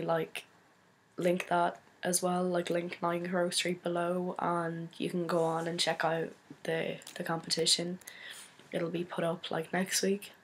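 A young woman from Donegal with an Irish accent, hoarse from a cold, chatting casually to her laptop webcam in a small bedroom and rambling with many fillers. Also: lowish voice. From Donegal, Ireland. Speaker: photographer vlogger. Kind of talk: casual chat to camera.

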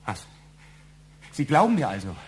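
A flustered male suspect in a radio crime play. He stammers, repeats himself and sounds both fearful and indignant, at a middling pitch. There is clear noise in the recording. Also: huge pitch swings. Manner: halting, stammering, repeats words. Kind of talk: German audio drama acting.

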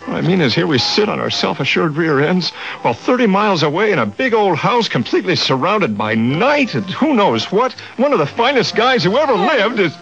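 Troubled husband, expressive pitch. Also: male voice, fairly quick, gravelly, heavily acted. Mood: brooding. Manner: earnest, preoccupied. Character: troubled, brooding husband, loyal friend. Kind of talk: radio drama acting. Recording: dull radio recording with music.

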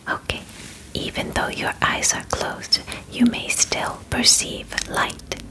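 A female alien examiner, acted out by a young woman in an ASMR roleplay. She whispers softly and breathily, very close to the mic. Her instructions come slowly and deliberately, formal and clinical yet reassuring. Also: lightly acted, low-quality recording. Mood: calm, clinical. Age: young adult. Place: bedroom, indoors. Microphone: close sensitive microphone.

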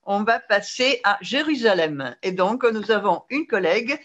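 Brisk woman host, slightly muffled call audio. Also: mid-range voice, animated, a bit bright. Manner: brisk, mixes in Italian phrases. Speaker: event host. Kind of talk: introducing speakers. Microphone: webcam on a video call.

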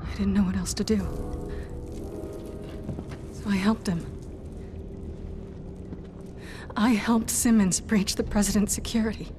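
A woman's voice: medium pitch, fairly even intonation, normal speed, close to an everyday voice. An actress voicing a desperate, guilt-ridden young woman agent in a video game cutscene, pleading and crying out. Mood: remorseful. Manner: pleading, frantic, often crying out. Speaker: game character. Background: dramatic music.

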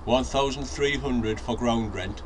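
A man's voice: mid-range voice, unhurried, low-quality recording. A man in his forties with a Northeast English accent chatting casually to a handheld camera, rambling with lots of ums and restarts. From North East England. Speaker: allotment vlogger. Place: outdoor allotment garden, then indoors in a shed. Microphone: handheld camera mic, then fixed camera. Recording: outdoor ambience with sirens and traffic. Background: outdoor ambience, traffic.

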